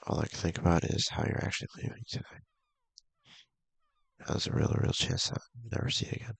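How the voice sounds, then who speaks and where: A man with a gravelly, husky voice casually reading a visual novel aloud, halting and mumbling with offhand asides. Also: low voice, dry recording. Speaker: video game streamer.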